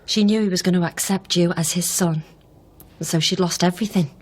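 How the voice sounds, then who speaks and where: A woman's voice: fairly low pitch, fairly even intonation, brisk, darkish tone, husky. An actress playing a determined, sceptical woman who questions people persistently and directly in a radio drama. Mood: firm, sceptical.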